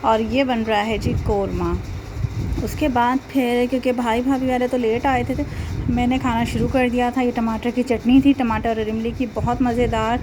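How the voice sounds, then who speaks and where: Chatty young Pakistani woman vlogger, Pakistani Urdu accent, higher voice, noisy home behind her. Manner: chatty, laughs often, many fillers. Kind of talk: casual vlog voice-over.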